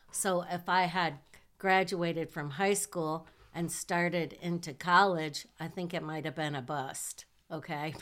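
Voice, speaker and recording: A middle-aged woman from southern Michigan with a nasal voice, hosting her own podcast in a chatty, self-deprecating way. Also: low-pitched. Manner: slow, chatty, self-deprecating with small laughs. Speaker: podcast host. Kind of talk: casual personal monologue.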